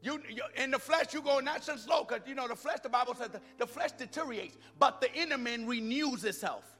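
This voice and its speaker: A man preaching a sermon, swinging between loud shouted lines and quick quieter asides. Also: extremely high pitch. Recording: music under voice in a long-reverb hall.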